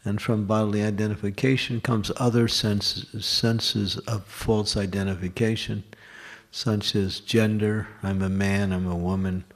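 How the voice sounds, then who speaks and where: A man's voice: lowish voice, little pitch movement, measured pace. A man giving a scripture class at a temple, explaining step by step and posing and answering his own questions. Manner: lists examples in short runs.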